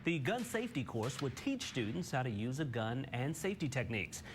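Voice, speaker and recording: A man's voice: medium pitch, very animated, a little fast, background noise. An American man anchoring morning television news, reading scripted stories in a studio.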